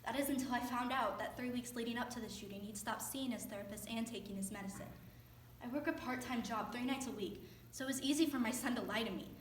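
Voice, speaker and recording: A woman's voice: fairly high pitch, quick pace, close to an everyday voice. A young woman playing a grieving, guilt-ridden mother in a staged dramatic monologue. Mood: pained, defensive. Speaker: student performer in readers theater. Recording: distant echoey stage recording, faint noise.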